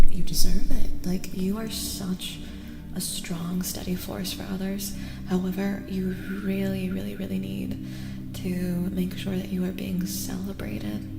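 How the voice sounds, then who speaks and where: A woman speaks softly and often drops into a whisper, as in a sleepy ASMR tarot stream. Her delivery is slow and reassuring, with gentle repetition. Her voice carries a long, echoing reverb, and music plays clearly behind her. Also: mid-range voice. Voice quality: soft, often breathing into whisper. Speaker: streamer giving tarot readings. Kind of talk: soft-spoken ASMR tarot reading.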